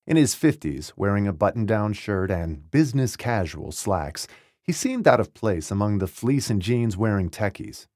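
Male narrator for memoir audiobook: lowish register, very expressive intonation, wry and articulate delivery, dry close studio sound. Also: dry recording. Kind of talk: scripted audiobook narration of a first-person memoir.

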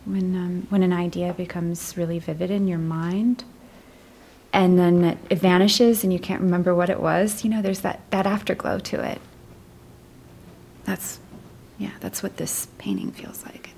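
An actress playing an earnest, polite new gallery attendant in an audio drama, soft-spoken, halting and tentative. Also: female voice, fairly low pitch, steady tone, gravelly, a little hiss or hum. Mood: reflective. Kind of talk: naturalistic audio drama acting.

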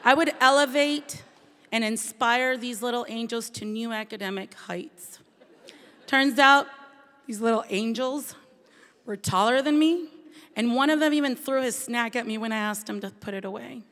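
Woman telling her story, kinda high voice, echoey hall sound. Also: normal speed, fairly bright tone. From Venezuela. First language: Spanish. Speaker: bilingual teacher. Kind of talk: scripted personal storytelling. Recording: long hall reverb.

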